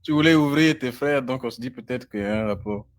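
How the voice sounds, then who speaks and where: A man's voice: medium pitch, fast. Teasing man grilling an opponent. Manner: teasing, mocking, insistent questioning. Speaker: debate opponent. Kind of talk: live online debate.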